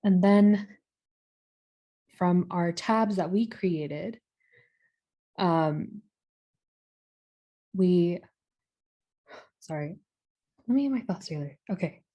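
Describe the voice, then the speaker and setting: A woman's voice: fairly low pitch, takes it slowly, long pauses, muffled recording. A young woman giving a student capstone presentation on a video call, hesitant at times, with fillers and self-corrections. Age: young adult.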